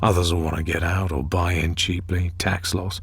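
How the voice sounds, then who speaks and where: An actor voicing a British secret agent's inner thoughts in a radio drama, terse, wry and brooding. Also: male voice, sits low, little pitch movement, moderate pace, vocal fry, soft background music. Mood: wry, appraising. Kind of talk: radio drama interior monologue.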